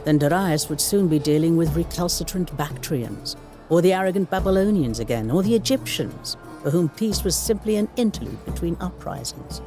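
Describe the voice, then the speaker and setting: A man's voice: on the high side, lively intonation, moderate pace. A man playing a solemn ancient chronicler, narrating history for a video game in a grave, theatrical storytelling manner. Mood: solemn, dramatic. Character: male ancient chronicler recounting war with solemn relish. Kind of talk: dramatic scripted historical narration. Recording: studio voice-over under prominent music.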